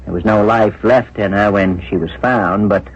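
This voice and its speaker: An actor playing a grave, considerate police inspector in a 1970s radio drama, speaking in a measured, deliberate, official way. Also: male voice, lowish voice, steady tone, husky. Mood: grave, gentle. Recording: muffled vintage radio broadcast.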